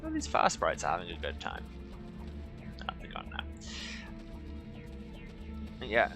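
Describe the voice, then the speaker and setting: A man's voice: low voice, wildly swooping pitch, a little slow, creaky, music in the background. A man giving casual, jokey, self-deprecating commentary as he plays a video game. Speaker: let's play streamer.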